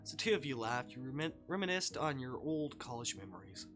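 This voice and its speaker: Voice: medium pitch, big pitch swings, fairly quick, music in the background. A streamer narrating a visual novel live, reading aloud with casual asides and the odd stumble over a line.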